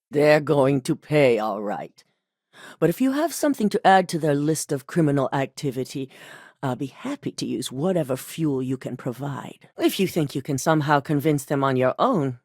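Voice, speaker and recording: A woman's voice: low voice, dry recording, clean studio sound. An actress voicing a cold, exacting female corporate executive in a video game, curt and authoritative.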